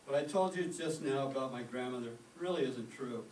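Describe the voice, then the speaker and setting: A man's voice: medium pitch, a little fast, a little mellow, distant mic, close to an everyday voice. A man playing a shy, earnest and easily flustered male teacher in a staged old-time radio comedy. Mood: earnest, apologetic. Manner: hesitant, flustered. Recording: echoey stage recording, off mic, faint noise.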